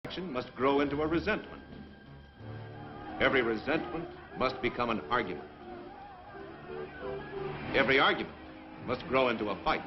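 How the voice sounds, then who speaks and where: An American man delivering scripted 1950s propaganda film narration as a military presenter, with an emphatic, deliberate and sardonic delivery. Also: mid-range voice, expressive. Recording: dull 1950s film soundtrack, music behind.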